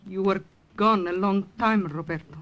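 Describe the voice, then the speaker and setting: A woman's voice: mid-range voice, normal speed, a bit bright, dull audio, close to an everyday voice. An actress playing a direct, watchful partisan woman in an old radio drama. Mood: watchful, pointed. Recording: noisy vintage radio drama recording.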